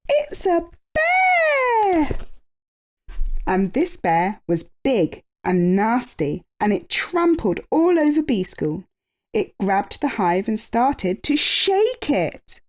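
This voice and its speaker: A woman storyteller reading a children's picture book expressively, with drawn-out emphasis and dramatic pauses. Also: mid-range voice, very animated, a little mellow.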